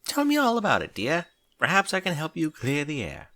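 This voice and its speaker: A man's voice: on the high side, huge pitch swings, brisk, sharp tone, voice pushed up, full character voice. A man voicing a refined, dramatic female unicorn with affected, genteel manners in a storybook reading. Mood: concerned, coaxing. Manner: affected, genteel, uses endearments.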